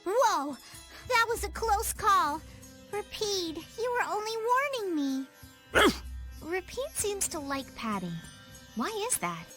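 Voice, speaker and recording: A woman's voice: extremely high pitch, big pitch swings, slow pace, a bit bright, heavily acted. A woman voicing a spirited, cocky young pirate girl in a video game. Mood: startled, bold, then playful. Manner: pirate-style exclamations, bold. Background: background music.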